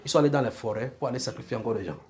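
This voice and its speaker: A man's voice: mid-range voice, racing, crowd noise. A man preaching a sermon in a church service, earnest and insistent, often repeating himself.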